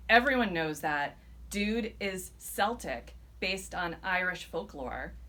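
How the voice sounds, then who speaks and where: A woman's voice: on the low side, animated, slow pace, bright, forceful tone, exaggerated voice. A woman voicing a smug, cocky, know-it-all teenage boy in a live novel reading. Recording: live event with background noise.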